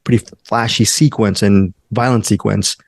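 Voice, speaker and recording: A man's voice: lowish voice, steady tone, normal speed, vocal fry, dry recording, clean studio sound. A man hosting a movie review show, chatting casually and checking in with his co-hosts. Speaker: host of a film review show.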